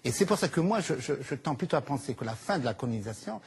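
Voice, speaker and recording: A man's voice: medium pitch, lively intonation, fast, breathy edge. A man of Algerian origin, an author answering interview questions earnestly, with hesitant starts and repeated words. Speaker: author guest. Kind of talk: studio interview.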